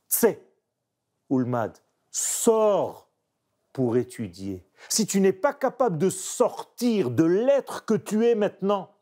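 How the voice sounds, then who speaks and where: A man, a painter speaking French as a second language, reflecting emphatically in an interview. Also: on the high side. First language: not French. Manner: animated, emphatic, searching pauses. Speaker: painter guest.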